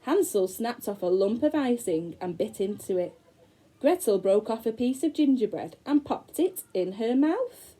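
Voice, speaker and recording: Female teacher, storybook read-aloud for small kids, mellow tone, very lively pitch. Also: husky, low-quality recording. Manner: expressive, slow, dramatic storytelling with gasps. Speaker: primary school teacher.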